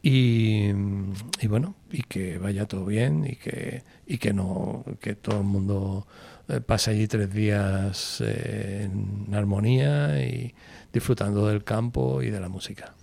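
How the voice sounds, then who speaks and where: A man's voice: on the low side, slow, vocal fry, breathy edge. A man in his sixties, a radio guest chatting casually, swearing freely and telling stories. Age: 60s. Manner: swearing, storytelling, animated when joking.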